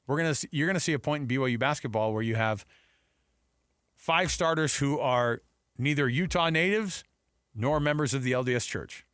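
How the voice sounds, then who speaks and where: A man's voice: medium pitch, normal speed, fairly bright tone, smooth, no echo, clean studio sound. An American man co-hosting sports talk radio in a casual, conversational way with rhetorical asides.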